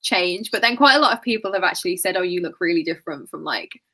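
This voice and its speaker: A woman chatting as a guest on a live video stream, enthusiastic and quick to laugh. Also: fairly low pitch, expressive, bright, forceful tone. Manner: enthusiastic and giggly. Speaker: guest co-host. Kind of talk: casual live-stream chat.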